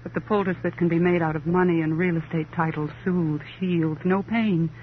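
A woman's voice: lowish voice, steady tone, moderate pace, lightly acted. An actress playing a wealthy, proud divorced woman in a 1950s radio crime drama, with an arch, sardonic, flippant manner and affected society phrasing. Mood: wry, wounded pride. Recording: muffled old radio recording, hum and hiss.

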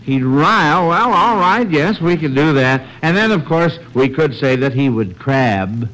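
A man's voice: fairly high pitch, expressive, measured pace, a bit bright, low-quality recording. An American man hosting a quiz show in the scripted style of old radio, reading out questions on a vintage 1940s broadcast.

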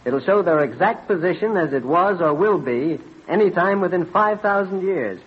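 An earnest colonial clockmaker in a vintage radio play. His voice sits a bit high and slightly husky, eagerly explaining with small hesitations. The recording is dull and dated. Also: animated, moderate pace. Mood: absorbed, eager. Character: earnest, absorbed colonial clockmaker and astronomer husband. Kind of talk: old-time radio drama acting.

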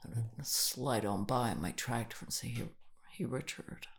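A woman's voice: very deep voice, normal speed, creaky, slight background noise. A woman co-hosting a comedy podcast, playful and whimsical, rambling through improvised bits.

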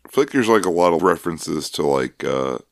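A young man with a notably low, deep voice trades crude jokes in a relaxed podcast chat. The sound is dry and close, with no room around it. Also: fairly quick, vocal fry, no echo. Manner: joking, crude banter. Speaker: podcast co-host. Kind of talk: casual chat.

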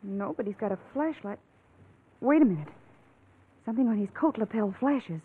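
An actress playing an earnest, headstrong young daughter desperate to get away, in an old-time radio drama. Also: female voice, on the high side, lively intonation, moderate pace, slightly rough. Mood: anxious. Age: young adult. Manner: earnest, defiant. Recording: tinny, muffled vintage radio recording.

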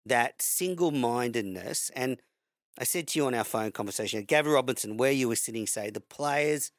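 A man's voice: mid-range voice, normal speed, no echo, studio quality. Chatty man hosting. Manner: encouraging, conversational interviewer. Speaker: podcast host. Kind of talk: casual podcast interview.

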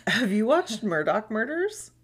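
A woman's voice: a little high, very animated, measured pace. A woman in her thirties co-hosting a podcast, chatting casually and swearing freely, often trailing off mid-sentence. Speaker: podcast co-host. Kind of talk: casual chat.

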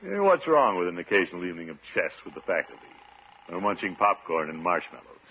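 Casting: blustery, self-important American bachelor, low voice with animated swoops, vintage radio comedy on a tinny recording. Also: male voice, quick pace, a little hiss or hum. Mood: musing. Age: middle-aged. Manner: blustery, theatrical, chuckling. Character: pompous, blustery middle-aged bachelor water commissioner. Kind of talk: classic radio comedy acting.

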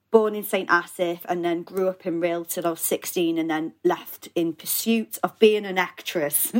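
A young adult woman from Wales, a guest actor on a podcast, talking casually from a holiday lodge over a remote call, laughing often and trailing off into fillers. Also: on the low side.

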